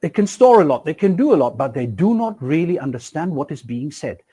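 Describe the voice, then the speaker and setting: Middle-aged guy lecturing, voice a bit high, swoops up and down a lot, lots of rhetorical questions. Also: brisk, slightly rough. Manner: animated, rhetorical questions, emphatic swings in pitch. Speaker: university lecturer. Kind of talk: recorded e-lecture.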